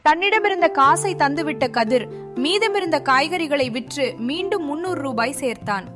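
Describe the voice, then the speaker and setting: A woman tells a scripted moral story in a high voice. Music plays clearly behind her as she narrates the cartoon tale. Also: animated, normal speed.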